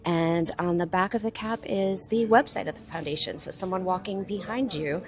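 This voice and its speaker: A woman's voice: mid-range voice, normal speed, a little mellow. A woman answering interview questions conversationally, as a vendor and writer at a convention.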